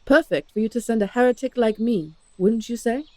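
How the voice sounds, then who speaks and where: A woman's voice: on the high side, fairly quick, a little mellow, no echo, natural voice. A woman playing a bitter, outspoken heretic in a fantasy audio drama, terse and sardonic. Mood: bitter sarcasm.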